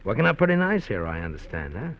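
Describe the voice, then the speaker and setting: An actor playing a menacing, smooth-talking gangster who mocks and threatens with chuckles, in a 1950s radio drama. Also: male voice, on the low side, huge pitch swings, quick pace. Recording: dull lo-fi 1950s radio recording with faint hiss.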